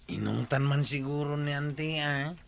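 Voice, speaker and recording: An actor playing a concerned, nosy young nephew in a Filipino comedy drama, with an Ilocano accent. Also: male voice, fairly high pitch, normal speed, creaky. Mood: curious, concerned. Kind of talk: broadcast comedy drama acting.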